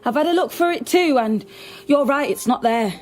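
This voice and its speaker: A watchful woman undercover as a nanny in a radio drama. Her voice sits fairly high. She speaks in a tentative, guarded, natural way over faint music. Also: expressive, moderate pace, a little mellow. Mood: coaxing. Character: gentle, watchful undercover policewoman posing as a nanny.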